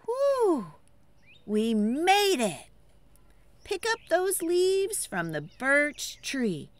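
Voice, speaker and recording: A woman reads a picture book aloud to children as a storyteller. Her voice sits fairly high and swoops in a very lively way. She takes the rhythmic lines at a slow pace, theatrically, inviting listeners to join in.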